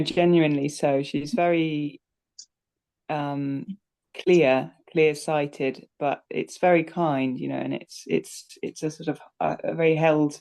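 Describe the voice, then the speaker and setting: Low-pitched woman, hesitant and reflective. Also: gravelly, dark tone. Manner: hesitant, repeats words as she thinks. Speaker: co-creator speaking on a live audience call. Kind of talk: casual reflective conversation. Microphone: online live call.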